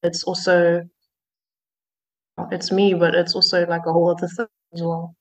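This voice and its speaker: A woman's voice: fairly low pitch, monotone, moderate pace, poor audio. A woman musician being interviewed, talking in a hesitant way with many fillers and restarts. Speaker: musician guest. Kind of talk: casual interview.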